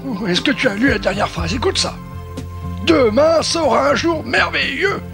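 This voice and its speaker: Dotty old professor, high-pitched and creaky. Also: male voice, expressive, normal speed, music in the background, full character voice. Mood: absent-minded, musing. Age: older. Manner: stammering, fussy, exclamatory. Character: dotty, polite old explorer professor, easily flustered. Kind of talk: French cartoon dub.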